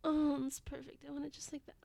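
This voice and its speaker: A woman acts out an amorous, playful female lover in an intimate audio drama. The voice is high and notably creaky, breathy and often near a whisper. The delivery is slow and unhurried, recorded close and dry. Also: fairly even intonation, heavily acted. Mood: aroused, breathless. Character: amorous, playful adult woman at an office party. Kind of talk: intimate erotic audio roleplay.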